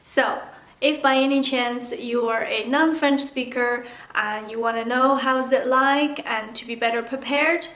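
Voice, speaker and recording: A woman's voice: fairly high pitch, fairly even intonation, unhurried, a bit bright. A woman from China with a Chinese accent, native speaker of Mandarin, chatting candidly to camera as a language-learning vlogger. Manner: chatty and candid, acts out playful asides. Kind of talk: casual talk to camera. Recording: echoey room.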